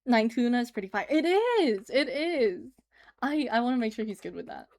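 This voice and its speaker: A woman's voice: a little high, big pitch swings, moderate pace, darkish tone. A woman livestreaming as she draws, chatting casually and giggling as she answers her chat. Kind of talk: casual chat while drawing on stream.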